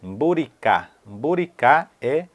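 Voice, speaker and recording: Man teaching words with slow repetition. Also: mid-range voice, very animated. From Paraguay. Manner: repeats words slowly to show pronunciation. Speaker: language teacher. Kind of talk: casual online lesson.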